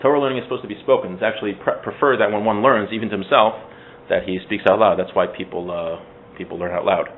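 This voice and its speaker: A man's voice: on the low side, steady tone, fast talker. A man giving an informal religious class as its teacher, conversational, explaining texts with everyday examples. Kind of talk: informal religious lecture with Hebrew source readings translated. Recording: muffled phone-like audio with faint background noise.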